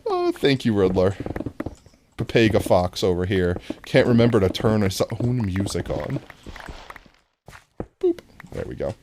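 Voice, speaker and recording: American live game streamer, casual and jokey, very animated pitch, some noise and clicking behind. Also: unhurried, pauses often. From New York, United States. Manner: playful, jokey, giggly. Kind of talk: casual gaming stream chat.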